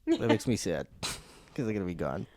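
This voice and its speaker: A man's voice: low voice, moderate pace, dry recording. A man, a podcast guest who tells stories with energy and acts out the voices of the people in them. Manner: animated storyteller, acts out voices. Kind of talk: casual chat.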